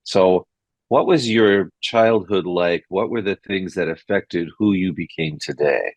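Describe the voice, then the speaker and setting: A man's voice: low voice, a little slow, dry recording, lo-fi sound. A man hosting a podcast interview, asking friendly, encouraging questions. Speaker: podcast host.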